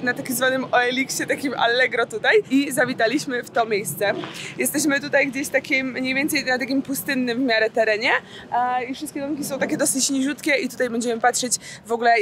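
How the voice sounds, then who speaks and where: A young woman chatting casually to the camera in an informal, slangy vlog style. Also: high voice. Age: young adult. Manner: informal, chatty, with slangy English words dropped in. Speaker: vlogger. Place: in and beside a camper van, outdoors. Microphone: handheld camera at arm's length. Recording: noisy on-location vlog sound.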